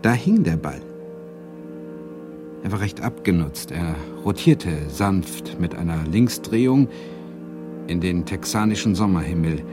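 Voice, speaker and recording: An actor playing a wry, self-doubting Swedish literature lecturer who narrates a radio drama in a reflective, deliberate way. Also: male voice, low voice, animated, over music. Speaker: narrator and lead character.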